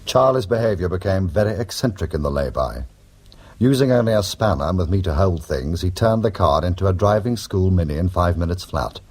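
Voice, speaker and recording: A man's voice: low-pitched, lively intonation, fairly quick, darkish tone. An actor playing a wry, urbane male criminal in a radio drama, speaking and narrating in a dry, sardonic, literary manner. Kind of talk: radio drama acting and first-person narration.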